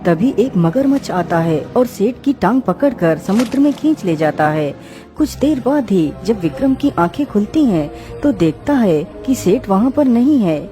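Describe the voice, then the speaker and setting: A woman's voice: mid-range voice, animated, measured pace, dark tone. Woman narrating a cartoon tale over music. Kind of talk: scripted storybook narration for a cartoon. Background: clear background music.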